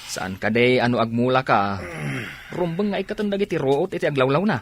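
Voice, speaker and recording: A man's voice: mid-range voice, animated, a little fast, natural voice. A man with a Filipino accent playing an easygoing village loafer and drinking buddy in a colloquial comedy radio drama. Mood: urgent.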